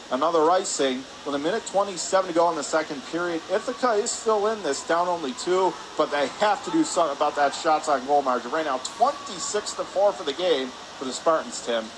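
A man doing live radio play-by-play hockey commentary in rapid, descriptive calls. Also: fairly high pitch. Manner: rapid descriptive calls, excited on scoring chances. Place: press area above rink in an indoor arena. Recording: noisy ice arena, steady background din.

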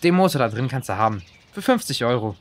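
A man's voice: mid-range voice, sing-song, fast talker, lightly acted. A man playing a bossy, mocking schoolboy bully in a children's audio drama, curt and sneering.

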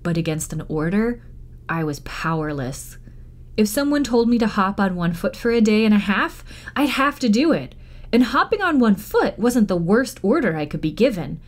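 A woman reading a children's novel aloud in expressive storytime narration. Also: medium pitch, moderate pace. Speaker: library storytime reader.

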